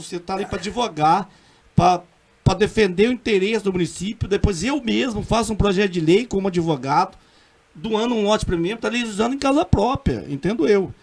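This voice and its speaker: Man, middle-aged, Minas Gerais accent, high voice, earnest, emphatic, halting restarts, councilman on radio. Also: animated. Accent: Minas Gerais Portuguese. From Brazil. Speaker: city councilman. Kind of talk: radio interview.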